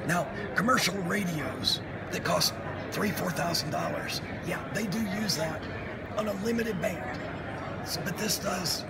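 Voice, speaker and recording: A middle-aged man with a high, creaky voice gives a casual technical demonstration. He is at a trade show booth with very loud noise around him. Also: unhurried. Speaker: head of product development demonstrating a product. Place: busy trade show floor at an exhibit booth. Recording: very noisy convention hall, announcements behind.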